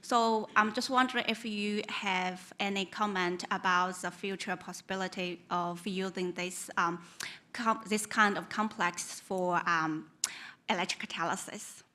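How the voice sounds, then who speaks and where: A woman's voice: medium pitch, fairly even intonation, a little slow, pushed and bright. A young woman at an audience microphone asking a tentative question full of fillers. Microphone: standing mic in audience.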